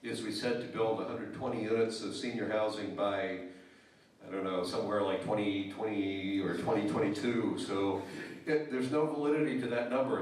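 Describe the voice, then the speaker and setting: Low-voiced man, echoey hall. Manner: measured, hesitant restarts. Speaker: town selectman. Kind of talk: official remarks at town meeting. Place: town meeting hall. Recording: very echoey hall, distant mic.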